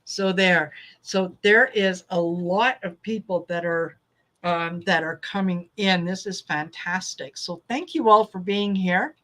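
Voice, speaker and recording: A woman's voice: on the low side, measured pace, fairly bright tone. A woman hosting a tarot livestream, chatting casually and agreeably, laughing often. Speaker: tarot channel host.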